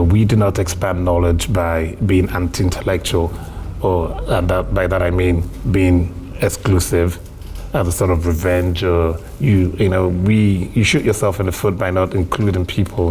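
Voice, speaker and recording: A man from Africa, a curator, answering at a panel talk in a measured way, restarting as he builds his point. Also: low-pitched, a little mellow, a little hiss or hum, poor audio.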